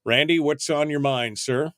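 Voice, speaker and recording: A man's voice: on the high side, moderate pace, a bit bright, no echo, studio quality. A man hosting a call-in radio show, talking casually and chattily, often repeating himself. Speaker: radio talk show host. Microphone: studio microphone.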